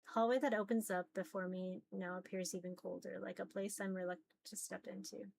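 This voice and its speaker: A woman's voice: mid-range voice, fairly even intonation, fairly quick, vocal fry, darkish tone, a little hiss or hum. A woman reading a visual novel aloud as dramatic narration.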